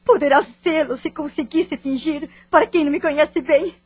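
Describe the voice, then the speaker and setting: Woman acting wronged wife and mother, Brazilian accent, high voice, formal melodrama, lo-fi sound. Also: moderate pace, natural voice. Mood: devastated, bitter. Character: wounded, bitter wife and devoted mother. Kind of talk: audio drama acting.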